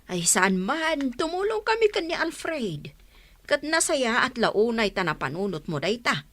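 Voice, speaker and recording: A woman's voice: a little high, big pitch swings, a little slow, a bit bright, dry recording, full character voice. An actress with an Ilocano accent playing a friendly, generous village woman in a radio comedy drama. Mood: friendly, eager. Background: music.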